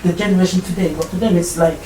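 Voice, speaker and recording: A woman's voice: lowish voice, fairly even intonation, fast, dark tone, slight background noise. A woman earnestly explaining her views in a support group discussion. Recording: echoey room, distant mic, music behind.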